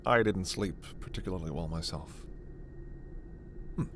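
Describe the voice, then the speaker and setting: Male actor as scholarly alien doctor, sci-fi ASMR roleplay, protective, very slow measured delivery, formal diction, slight background noise. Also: low-pitched, fairly bright tone. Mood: polite, thoughtful.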